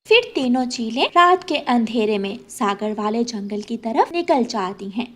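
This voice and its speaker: A woman's voice: on the high side, sing-song, measured pace, fairly bright tone. A woman reading scripted narration for a children's cartoon story.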